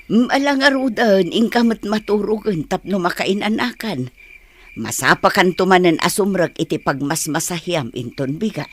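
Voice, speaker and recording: A woman playing a poor, gentle mother consoling her grown son in an audio drama. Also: mid-range voice, normal speed, close to an everyday voice. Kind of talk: Ilocano audio drama acting.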